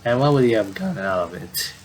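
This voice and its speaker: A man doing casual game commentary, reading on-screen dialogue aloud and adding halting asides. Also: low voice, slight background noise, rough recording. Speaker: gamer doing playthrough commentary.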